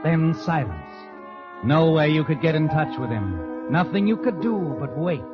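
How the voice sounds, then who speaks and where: Sardonic, high-pitched suspense narrator over music. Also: male voice, very animated, measured pace. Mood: knowing, suspenseful. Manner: insinuating, theatrical. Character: sardonic, knowing male narrator addressing the heroine. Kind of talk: 1940s radio suspense narration. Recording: dull vintage radio recording. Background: dramatic music.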